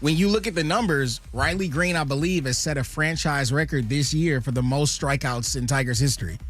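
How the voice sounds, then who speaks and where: A man with a somewhat high voice co-hosts a morning radio show, confident and quick to jump in. He sounds plain and close. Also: fairly bright tone, faint music behind. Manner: quick agreeing interjections, confident. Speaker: radio co-host. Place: radio studio.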